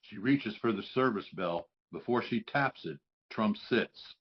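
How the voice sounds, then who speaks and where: A man serves as narrator, reading scripted stage directions. His voice sits on the low side. The audio is a little muffled. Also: unhurried.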